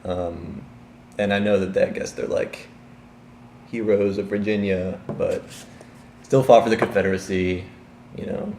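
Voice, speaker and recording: A man's voice: sits low, steady tone, a little slow, pauses often, a little mellow, echoey room, slight background noise. A young man from Chicago answering street interview questions casually, hesitant and full of fillers. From Chicago, Illinois.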